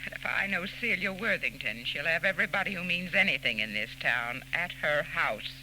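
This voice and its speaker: A woman's voice: deep voice, expressive, normal speed, bright, forceful tone, heavily acted. An actress playing a composed, condescending society woman who secretly runs robberies, in 1940s radio style. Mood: calculating. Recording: scratchy 1940s radio recording with hum.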